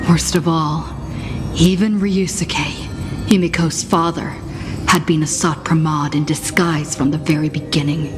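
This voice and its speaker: A woman acting as a grave narrator in a video game, recounting battles and disaster in a solemn, measured storytelling manner. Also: deep voice, fairly even intonation. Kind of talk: scripted story recap narration. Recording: game audio under loud music.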